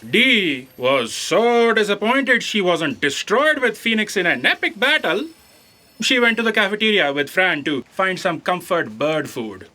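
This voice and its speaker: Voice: medium pitch, sing-song, a little slow, sharp tone. A performer playing a deferential, literal-minded aide in a sci-fi audio comedy, hesitant and earnest. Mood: dutiful, hesitant. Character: deferential, hesitant, fact-correcting space aide.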